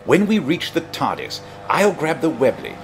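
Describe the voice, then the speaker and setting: Man playing an animated, eccentric time-traveling adventurer. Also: a little high, moderate pace, music in the background. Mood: wry, confident. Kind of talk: sci-fi audio drama acting.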